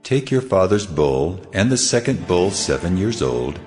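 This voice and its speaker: Mighty divine voice, very low, swooping intonation, solemn, commanding, scripture drama. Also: male voice, very animated, a little mellow, soft background music. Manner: slow, measured, authoritative.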